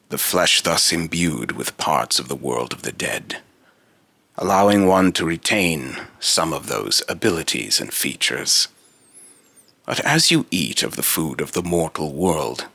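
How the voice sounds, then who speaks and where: A man voicing a solemn, otherworldly immortal being in a deep, raspy robotic voice, with grandiose, archaic, halting phrasing in a dramatic monologue. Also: pushed and bright, no room sound, full character voice.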